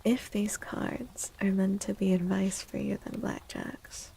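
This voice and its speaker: A woman speaks softly in an ASMR livestream style, often half-whispering. Her voice is dark and breathy with plenty of creaky fry. She murmurs gently and takes her time as she chats. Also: mid-range voice, level tone, a little slow, a little hiss or hum, rough recording. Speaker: livestream host and tarot reader. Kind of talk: soft-spoken ASMR livestream chat.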